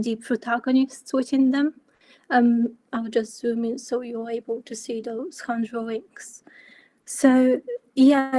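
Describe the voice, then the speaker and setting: Young woman from bulgaria presenting on a video call, higher pitched, dry close sound. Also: dark tone, no room sound, low-quality recording. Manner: measured, with frequent ums. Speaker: architecture student speaker. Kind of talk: presenting own work in an online talk.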